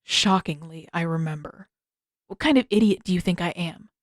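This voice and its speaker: A woman's voice: lowish voice, normal speed, dry recording, pitched below the performer's own voice, natural voice. A woman reader puts on a prickly young prince in an adventure story. He is guarded and sullen, answering in short, defensive bursts with a dry edge. The recording has no audible room.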